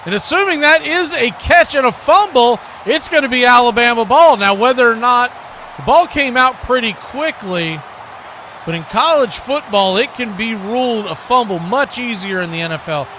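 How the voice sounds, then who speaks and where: An American man doing live football play-by-play commentary, conversational between snaps and animated on big plays. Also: very high-pitched, moderate pace, slight background noise. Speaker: play-by-play sportscaster.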